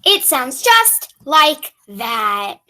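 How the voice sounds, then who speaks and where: A woman playing a disgruntled sound technician in a stage comedy, with a sardonic, drawn-out way of speaking. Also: high voice, very animated, bright, forceful tone, full character voice. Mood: sarcastic.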